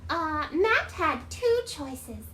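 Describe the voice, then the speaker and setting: A woman voicing an excitable female puppet bird who reads storybooks aloud with theatrical exclamations and droll asides. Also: sits high, drawn-out words, exaggerated voice. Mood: bright storytelling. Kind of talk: casual read-aloud with playful asides on a livestream. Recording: roomy echo, faint background noise.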